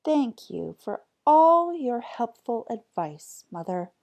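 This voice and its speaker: A woman's voice: a little high, big pitch swings, slow, gravelly, a little mellow, pitched above the performer's own voice, full character voice. A woman voicing a good-natured, simple young lad in a storybook, agreeable and obliging. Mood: cheerful, agreeable. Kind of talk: storybook character voice.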